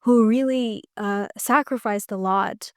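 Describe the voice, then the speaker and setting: Soft-spoken, thoughtful woman guest. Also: medium pitch, slow, clean tone, no echo, clean studio sound. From United States. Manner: thoughtful, hesitant, many restarts. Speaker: podcast guest, musician. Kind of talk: reflective interview talk.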